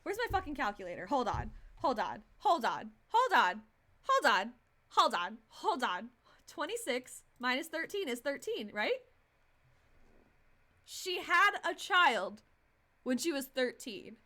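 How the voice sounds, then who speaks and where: A woman in her twenties reacting casually on a game livestream, excitable, repeating herself and swearing freely. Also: sits high, measured pace. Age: 20s. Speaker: game streamer.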